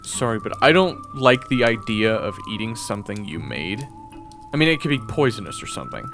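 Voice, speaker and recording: A man's voice: lowish voice, very animated, fairly quick, voice pushed up. A man voicing a married swordsman from northwest Europe, flustered and wary, stammering through nervous restarts, with music playing behind. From Orleans, northwest Europe. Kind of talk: audio roleplay acting.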